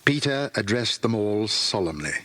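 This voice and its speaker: A man's voice: fairly low pitch, very animated, normal speed, fairly bright tone. A man reading scripted narration for a children's audiobook dramatisation. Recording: 1980s cassette with music under voice. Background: plucked guitar music.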